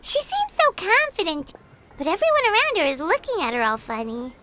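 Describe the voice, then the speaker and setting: A woman voicing a tiny, cheeky fairy girl companion in a video game, with a squeaky, childlike, chirpy and chatty delivery. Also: very animated, a little fast, background noise. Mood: gossipy, sheepish. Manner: chirpy, refers to herself by name in third person. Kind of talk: scripted game character dialogue.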